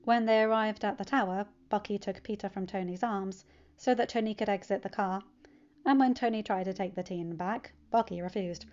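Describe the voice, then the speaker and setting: A woman's voice: medium pitch, moderate pace, a little mellow, lo-fi sound. A woman reading scripted fan fiction narration as a storyteller.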